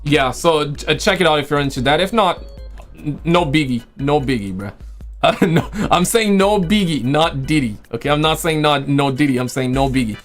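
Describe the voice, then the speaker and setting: A man with a fairly high-pitched voice talks casually to his livestream viewers. He rambles in loose slang and often repeats himself, his voice a little worn as if it is going. Music plays faintly behind him. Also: normal speed. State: losing his voice. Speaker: livestream host and video creator.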